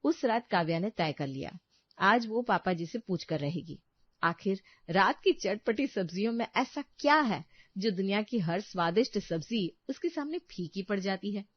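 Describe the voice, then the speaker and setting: A woman's voice: medium pitch, animated, normal speed, dry recording, highs cut off. A woman narrator reading a scripted family story as a storyteller.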